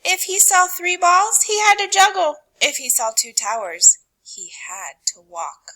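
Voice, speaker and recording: A woman reading a picture book aloud to children, with dramatic, deliberate phrasing that drops to a hush and rises for emphasis. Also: very high voice, low-quality recording. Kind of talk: expressive read-aloud narration for children.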